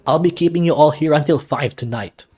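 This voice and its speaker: A man acts out a blustery male soccer coach from a visual novel, small in stature and big in heart. He is gruff and emphatic, barking his words loudly, lifted above an everyday talking pitch. Faint music runs underneath. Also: fairly quick. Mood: exasperated, barking. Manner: loud, emphatic, barking pep talk.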